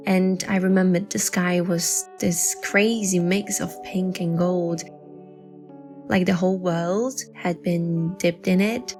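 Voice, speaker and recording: Actress as wistful female lead, bedtime audio drama. Unhurried, fry-edged, hesitant, soft music underneath. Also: fairly low pitch, steady tone. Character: wistful woman recalling a mysterious seaside memory. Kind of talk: intimate audio drama acting.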